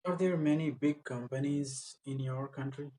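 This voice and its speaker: A man's voice: fairly high pitch, moderate pace, dark tone. A man, acting as an English examiner and putting short practice interview questions.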